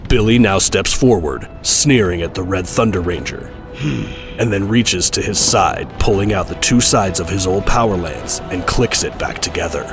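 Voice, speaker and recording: A deep-voiced man reads scripted action narration as the storyteller of an audio drama. Loud music runs underneath his voice. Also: moderate pace.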